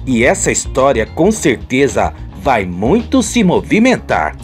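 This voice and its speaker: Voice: medium pitch, wildly swooping pitch, measured pace, soft background music. A narrator with a Brazilian accent telling a radio soap dramatically, theatrical and familiar with listeners.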